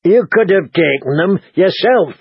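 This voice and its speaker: A man voicing a gruff, impatient outlaw who speaks curtly. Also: sits high, lively intonation, measured pace, dry recording, dull audio, pitched above the performer's own voice, heavily acted.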